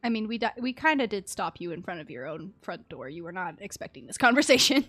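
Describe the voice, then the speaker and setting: A woman's voice: medium pitch, very animated, fast, a bit bright, faint music behind. A woman giving casual, sympathetic live commentary as she streams a game, chatty and giggly, using pet names.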